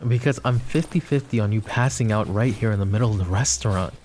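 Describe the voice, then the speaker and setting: A man playing a flirty, possessive mafia boss who is protective and menacing, in an intimate roleplay ASMR style with smooth-talking, controlled menace. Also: fairly low pitch, quick pace, darkish tone, a little hiss or hum, close to an everyday voice. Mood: protective, teasing.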